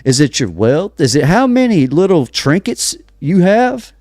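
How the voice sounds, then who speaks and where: An American man hosting a religious podcast, delivering emphatic commentary full of rhetorical questions, pauses and restarts. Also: a little high, very animated, a little mellow, no room sound, studio quality. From United States. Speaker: podcast host. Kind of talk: preaching-style religious commentary.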